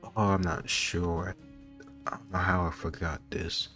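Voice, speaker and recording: Man, deep pitch, little melodic variation, casual commentary, rambling explanatory asides. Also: little pitch movement, measured pace, a bit bright, soft background music. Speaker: host answering quiz questions.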